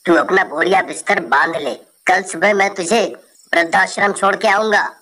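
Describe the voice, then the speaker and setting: A woman's voice: lowish voice, normal speed, fairly bright tone, slight background noise, voice pushed down, close to an everyday voice. A woman voicing a callous, exasperated adult son and husband who talks curtly, in a storybook tale. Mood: fed up, stern. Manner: curt, exasperated.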